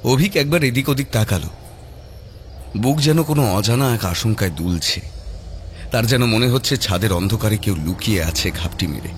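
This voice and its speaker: A man narrating a scripted horror story dramatically, with suspenseful, measured phrasing. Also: fairly low pitch, lively intonation, a little hiss or hum. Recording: loud music behind.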